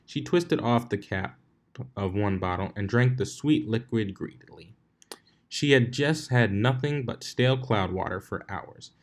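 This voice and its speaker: Low-pitched man narrating a story. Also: expressive, measured pace. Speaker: fan fiction reader, narrator.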